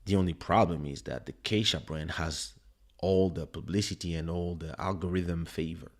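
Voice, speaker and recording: A man with a French accent, a native French speaker, hosting a livestream listening party in relaxed, rambling casual chat with many fillers. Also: low-pitched, normal speed. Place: home streaming setup. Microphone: studio desk microphone.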